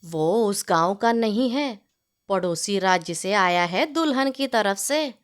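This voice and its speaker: A woman's voice: medium pitch, animated, slow, clear, resonant tone, voice pushed down. A woman voicing a gossiping male house servant in a storybook tale, informal and chatty. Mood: enthusiastic. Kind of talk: storybook character dialogue.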